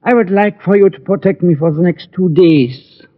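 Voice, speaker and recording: A man playing a small, polite German gunmaker in his sixties in a radio drama, speaking halting, formal English with a German accent. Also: high voice. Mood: polite, hesitant. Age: 60s. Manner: halting, formal, foreign phrasing. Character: small, polite elderly German immigrant gunmaker seeking help. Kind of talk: 1940s radio drama acting. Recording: tinny vintage radio recording.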